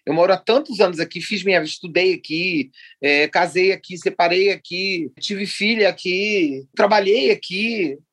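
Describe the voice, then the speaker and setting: A woman's voice: lowish voice, normal speed, few pauses, slightly rough. A woman in her sixties from Rio de Janeiro, a writer chatting as a guest in an interview, talking in long digressions and laughing often. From Rio de Janeiro, Brazil. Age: 60s. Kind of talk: casual interview chat.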